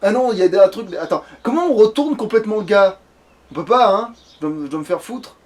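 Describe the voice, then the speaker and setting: A man with a notably high-pitched voice talks through a video game in casual conversation. His wording is informal and crude, as in a relaxed let's play. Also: fast. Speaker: let's player. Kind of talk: casual chat while creating a game character.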